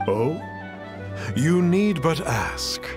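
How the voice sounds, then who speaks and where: A self-important masked immortal from a fantasy game. He speaks slowly and grandly, with archaic, cryptic turns of phrase and sweeping rises and falls, over faint music. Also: on the high side, very animated, full character voice. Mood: pompous, mysterious. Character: pompous masked male self-styled immortal sage, lofty and cryptic. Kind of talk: video game character acting.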